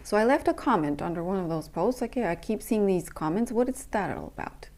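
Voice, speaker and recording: A young adult woman from Romania, a coach, speaking earnestly in a halting personal monologue with many pauses and fillers. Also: mid-range voice, expressive, a little mellow. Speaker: intimacy and breathwork coach.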